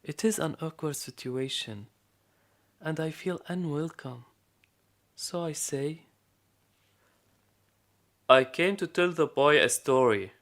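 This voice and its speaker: An actor playing a weary, imprisoned storyteller, narrating a radio play in a measured, grave way with a creaky voice. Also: male voice, fairly high pitch, fairly bright tone. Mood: gentle, hesitant.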